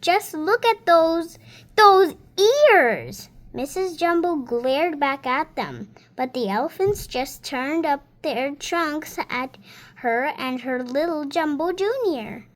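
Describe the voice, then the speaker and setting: Young girl, around seven, high voice, slow and careful storybook read-aloud. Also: lively intonation. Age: child, about seven. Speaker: young reader.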